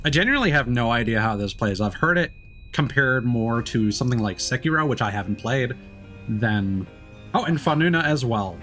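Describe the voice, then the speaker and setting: A man's voice: mid-range voice, lively intonation, moderate pace, fairly bright tone. Casual man commentating a game livestream, music behind. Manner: chatty, informal, casually swearing. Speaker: livestreamer playing a video game.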